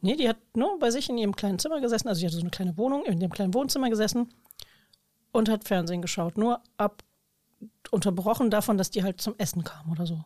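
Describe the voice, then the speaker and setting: A woman's voice: medium pitch, expressive, fast talker, a little mellow, no room sound, clean studio sound. A woman chatting casually in a talkative, informal, colloquial way. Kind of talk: casual conversational chat.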